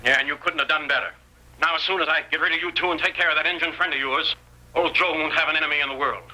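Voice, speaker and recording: An actor playing a scheming, menacing bandit with American rustic talk in an old-time radio Western. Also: male voice, fairly high pitch, fairly even intonation, fast, pushed and bright. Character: scheming male bandit, menacing, sneering, posing as brother. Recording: vintage radio recording, tinny.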